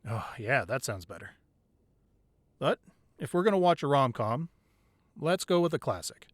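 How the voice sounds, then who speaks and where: A man acts out an affectionate boyfriend who doubles as a hardened crime boss in an intimate audio roleplay. His mid-pitched voice moves freely up and down, conversational and able to turn from playful to commanding. The sound is close and very dry. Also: sing-song, normal speed, dry recording. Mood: playful, amused. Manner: conversational, playful with partner, curt and commanding in business. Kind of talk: intimate ASMR roleplay acting.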